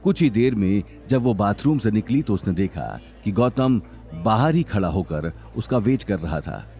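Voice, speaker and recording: A male narrator tells a scripted dramatic story. His voice is fairly low and very animated in pitch, with faint music behind it. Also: moderate pace.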